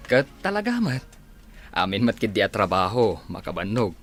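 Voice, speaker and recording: A man's voice: fairly low pitch, big pitch swings, moderate pace, faint music behind. A man playing a sociable, gossiping working man in a conversational radio drama scene. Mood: chatty. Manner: conversational, chatty.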